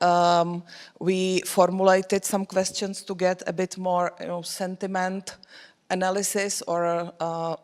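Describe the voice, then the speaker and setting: A woman with a lowish, level voice giving a conference talk. She speaks steadily, stopping often with "uh" and "um" as she searches for words. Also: a little mellow. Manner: hesitant, many fillers. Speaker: conference presenter. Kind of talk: conference presentation.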